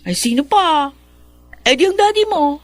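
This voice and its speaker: A woman's voice: high voice, animated, unhurried, faint music behind. An actress playing a sassy, attention-hungry young woman in a Filipino radio drama, teasing and pert. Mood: teasing, bold. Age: young adult.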